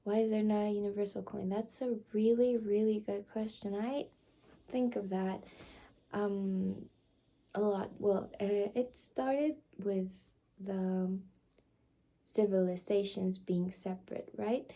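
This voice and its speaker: A woman doing an ASMR roleplay, soft-spoken and partly whispered, explaining things in a halting, rambling way with many fillers. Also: mid-range voice, fairly even intonation. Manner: slips between whisper and soft voice. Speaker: ASMR creator.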